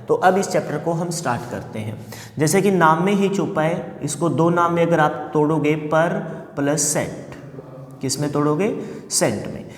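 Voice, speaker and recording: A male math teacher with a slightly hoarse throat, giving a classroom lesson and answering his own questions while he repeats key points. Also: fairly high pitch. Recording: echoey room with long reverb.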